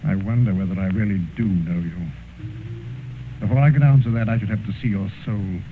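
An actor playing an earnest, moralizing gentleman painter, grave and pleading, in old radio drama style. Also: lowish voice, brisk, narrow, tinny audio, close to an everyday voice. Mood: stern reproach. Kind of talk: 1950s radio drama acting. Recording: scratchy old radio recording, thin band, hiss.

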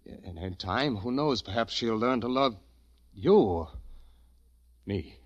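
An actor playing a lovesick, anxious young man who pleads haltingly in the emotional style of 1940s radio drama. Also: on the low side, expressive, dry recording, highs cut off. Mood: nervous. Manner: halting, emotional, repeats himself when agitated. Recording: old radio recording, faint music.

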